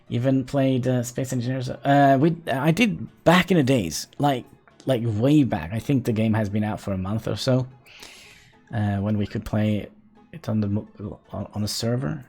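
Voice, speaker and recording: A man's voice: medium pitch, unhurried, a little mellow, faint music behind. A man from Norway, chatting casually to his live-stream viewers while he plays, laughing often. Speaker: game streamer.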